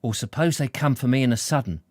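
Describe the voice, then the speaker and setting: A man's voice: mid-range voice, fast talker, no room sound, pitched above the performer's own voice, natural voice. A man voicing a nervy, defiant young man from a novel, with agitated, blustering, slangy talk. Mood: blustering, threatening.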